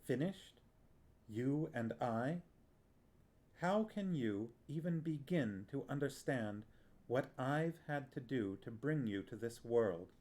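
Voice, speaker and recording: An ancient sorceress, cold and commanding, as a man reads her part. She speaks quietly and flatly. Each word is clipped and cutting. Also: mid-range voice, slow pace. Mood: bitter.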